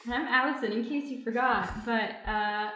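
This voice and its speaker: A woman's voice: a little high, moderate pace, sharp tone. A woman, a guest improv performer, casually introducing herself and her part. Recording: echoey room.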